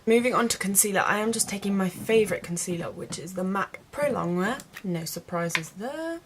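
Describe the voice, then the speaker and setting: A woman's voice: medium pitch, animated, moderate pace, slight background noise. A woman with a mid-range voice talks in the casual style of a beauty vlogger. She explains each step informally, addressing viewers directly as in a home-style tutorial chat.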